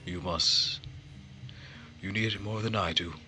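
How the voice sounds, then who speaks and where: Earnest young marquis for a visual novel, male reader, measured and formal, low pitch, very bright edge. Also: steady tone, full character voice. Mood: tender. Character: gentle, earnest young nobleman, quietly sorrowful. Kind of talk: visual novel character read-aloud. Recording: music behind the voice.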